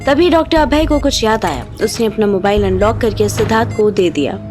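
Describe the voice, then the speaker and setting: A woman's voice: mid-range voice, expressive, a little fast, darkish tone. A woman reading scripted narration as the storyteller of an audio drama. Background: background music.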